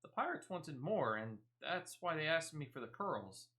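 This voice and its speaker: A man's voice: fairly high pitch, big pitch swings, moderate pace, bright, forceful tone, heavily acted. A man voicing a defensive, wheedling woman animal smuggler from a video game, in rustic speech with dropped letters. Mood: grumbling, defensive. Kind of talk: video game dialogue read aloud.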